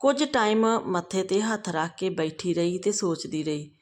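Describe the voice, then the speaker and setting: A woman's voice: lowish voice, lively intonation, normal speed. Woman narrating a scripted family story.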